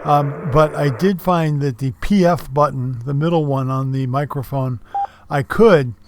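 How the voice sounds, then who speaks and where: A man's voice: on the high side, unhurried, slight background noise. A man giving an informal, explanatory product review in a casual narrating style.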